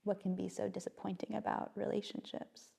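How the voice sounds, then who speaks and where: Soft-spoken woman with vocal fry. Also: medium pitch, little pitch movement, brisk. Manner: hesitant and reflective, many fillers and restarts. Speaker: playwright guest. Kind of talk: casual podcast conversation.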